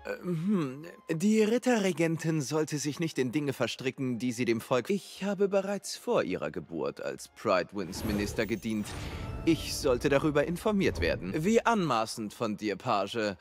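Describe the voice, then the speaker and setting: Haughty old-guard royal minister, condescending, widely swooping intonation, fantasy game dialogue. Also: male voice, medium pitch, very animated, unhurried, faint music behind. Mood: indignant, condescending. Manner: condescending, pompous. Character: haughty, scheming veteran court minister, male.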